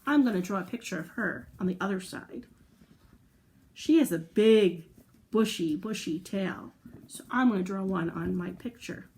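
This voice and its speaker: A woman's voice: medium pitch, normal speed, darkish tone. A woman hosting a children's storytime, telling a story and chatting to young listeners in an encouraging way with simple explanations and questions. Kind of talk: read-aloud storytelling and chat to young children.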